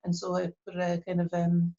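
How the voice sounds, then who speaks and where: A middle-aged woman with an Irish accent from Cork, talking reflectively in an interview and pausing often with fillers and restarts. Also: on the low side, monotone, dark tone, muffled recording. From Ireland. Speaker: artist, interview guest. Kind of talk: casual interview talk.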